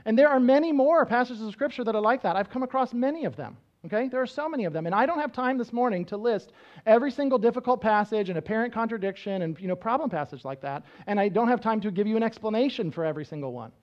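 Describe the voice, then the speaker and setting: Pastor guy in his 30s preaching on a church stage, highish voice, keeps saying right?, kinda muffled sound. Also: animated, fast talker, a little mellow. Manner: conversational teaching, frequent "right?" check-ins; walks around the stage gesturing. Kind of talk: sermon. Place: church stage with band instruments.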